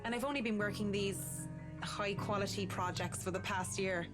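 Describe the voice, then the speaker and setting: A woman's voice: medium pitch, steady tone, moderate pace, lightly acted. A woman playing a polite, guarded young working woman in a visual novel, reserved and businesslike. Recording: game dialogue over music.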